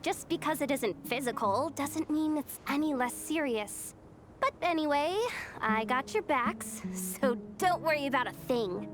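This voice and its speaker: An eager, cute young female employee with a very high voice. She sounds earnest and keen to please, in the manner of a devoted video game sidekick. Music is clearly heard underneath. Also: lively intonation, a little slow.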